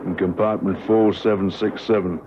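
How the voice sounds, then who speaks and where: A man's voice: low-pitched, fairly even intonation, moderate pace, natural voice. A man playing a deferential, uneasy henchman who speaks hesitantly in a spy radio drama. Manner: hesitant, subservient. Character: deferential, uneasy male henchman posing as attendant. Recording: noisy muffled radio recording.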